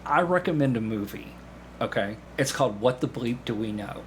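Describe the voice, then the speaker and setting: A man speaking as a podcast guest, explaining things casually in a halting way with repeated words and false starts. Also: medium pitch, expressive. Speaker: podcast guest, community theatre actor. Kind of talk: casual conversational explanation with anecdotes. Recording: clear background noise with room ambience.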